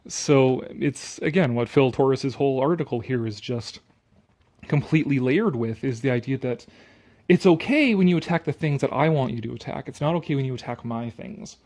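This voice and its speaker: A man's voice: medium pitch, lively intonation, normal speed, darkish tone. A man reading an article aloud with casual, sarcastic commentary, blunt and dismissive, prone to swearing. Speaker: online commentator.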